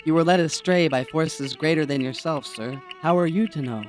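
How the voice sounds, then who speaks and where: A man playing a deferential but quietly treacherous aide in an absurdist audio drama, measured, formal and unruffled. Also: fairly high pitch, soft background music, natural voice. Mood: soothing, deferential. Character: deferential male aide, smoothly calm, quietly treacherous and ambitious.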